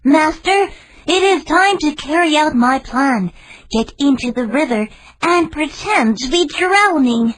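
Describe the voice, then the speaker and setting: A squeaky, scheming storybook cat. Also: male voice, animated, a little slow, fairly bright tone, heavily acted, poor audio. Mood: eager. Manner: eager, persuasive, urgent. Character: scheming clever talking cat, male. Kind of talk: storybook character voice.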